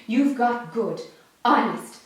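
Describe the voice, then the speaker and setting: A woman's voice: on the high side, animated, slow, very echoey. An actress playing a woman worker in a radio drama, emphatically defending her colleagues. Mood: defensive.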